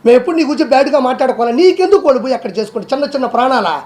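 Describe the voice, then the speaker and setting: A man's voice: very high-pitched, expressive, rapid-fire. A man delivering a heated rebuttal in a combative way, firing off one rhetorical challenge after another.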